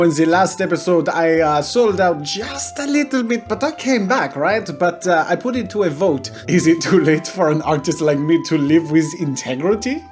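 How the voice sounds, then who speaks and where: A melodramatic French artist with an exaggerated fake French accent. The voice sits fairly high and swings widely in pitch as he grandly narrates a video game in pompous, self-mocking character. Music plays behind him. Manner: theatrical, dramatic, self-mocking. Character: pompous, melodramatic, wine-loving French male artist stuck in tower. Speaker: gaming video host.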